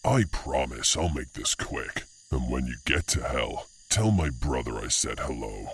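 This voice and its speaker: A man's voice: very deep voice, expressive, measured pace, a little hiss or hum. A man playing a large, menacing orc villain who speaks with formal menace in an audio drama. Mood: cold, threatening.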